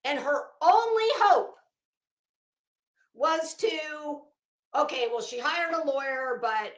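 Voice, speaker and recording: A woman giving informal, opinionated commentary in an emphatic, scornful manner, swearing freely and pausing dramatically. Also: high voice, animated, echoey room, low-quality recording. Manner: emphatic, scornful, profane, dramatic pauses and repetition. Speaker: online commentator.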